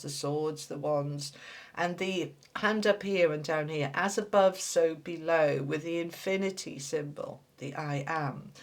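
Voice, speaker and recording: A woman with a cold, sounding slightly nasal and congested, giving a reassuring spoken tarot reading and often checking in with "all right?". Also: deep voice. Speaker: tarot reader.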